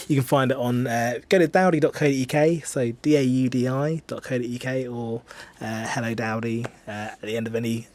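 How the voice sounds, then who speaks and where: A man's voice: mid-range voice, a little hiss or hum. A man in his twenties with an English accent, chatting casually as a musician in a radio interview, self-deprecating and rambling with hesitations. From England. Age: 20s. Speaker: singer-songwriter being interviewed. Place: radio studio.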